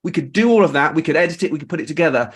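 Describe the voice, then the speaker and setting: A man's voice: fairly high pitch, expressive, racing, slightly rough. A man hosting a casual group discussion, rambling as he thinks aloud and often rephrases himself. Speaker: podcast host.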